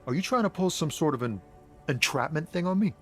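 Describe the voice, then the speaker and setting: A laid-back slangy street poet. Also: male voice, a little high, brisk, soft background music. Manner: relaxed, slangy, easygoing. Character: laid-back street poet and drug courier, young man. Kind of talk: scripted game dialogue.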